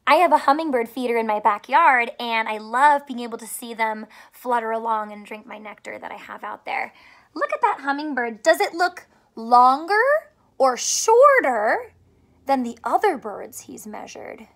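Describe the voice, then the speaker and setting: A woman's voice: a little high, lively intonation, normal speed, fairly bright tone. A woman in her thirties reading a picture book aloud as a teacher, explaining simply and posing questions to young listeners. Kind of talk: children's story read-aloud with simple teaching. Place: small furnished room at home, indoors.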